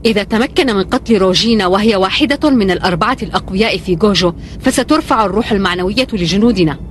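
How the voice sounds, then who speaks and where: A woman's voice: medium pitch, quick pace, a little hiss or hum, lightly acted. A woman playing a shrewd, deferential military adviser in a cartoon dub, speaking persuasively. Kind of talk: dubbed cartoon acting. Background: faint music.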